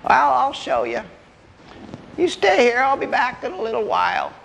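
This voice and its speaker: A man's voice: huge pitch swings, moderate pace, gravelly, fairly bright tone, slight background noise, heavily acted, rough recording. A man voicing a wily old backwoods grandfather in a folksy storytelling act, playing bewildered. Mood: puzzled, folksy. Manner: folksy, hesitant questioning that breaks into shrill hollering.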